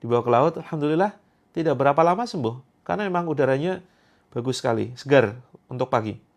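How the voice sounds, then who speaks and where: Man in his thirties, from Indonesia, livestream host, chatty, joking, repeats himself for emphasis, mid-pitched voice. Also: animated, fast, gravelly. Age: 30s. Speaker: Quran therapy host. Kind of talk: livestream chat answering viewer comments.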